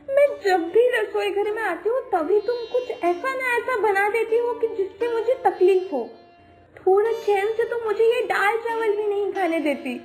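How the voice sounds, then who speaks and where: A woman's voice: very high-pitched, darkish tone. A woman playing a whiny, indignant younger sister-in-law who complains sarcastically and melodramatically in a cartoon story. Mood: whiny, aggrieved. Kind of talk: Hindi animated moral story acting.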